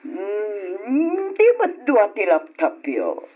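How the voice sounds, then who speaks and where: Casting: sly, greedy female lender, Ilocano accent, unhurried, swooping delivery, old radio drama. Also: medium pitch, wildly swooping pitch, lo-fi sound, heavily acted. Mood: stern, dismissive. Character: hard-nosed, greedy woman moneylender, sly and taunting.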